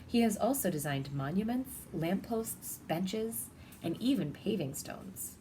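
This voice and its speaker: Female storybook reader, mid-pitched, expressive and deliberate, recorded with audible background noise. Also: rough recording. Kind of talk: children's picture-book read-aloud.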